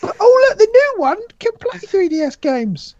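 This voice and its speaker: A man's voice: very high voice, very animated, moderate pace, a little mellow, no echo, muffled recording, pitched above the performer's own voice. A man voicing a whiny young boy who begs his mum for a new game console. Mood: whining. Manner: pleading, sing-song.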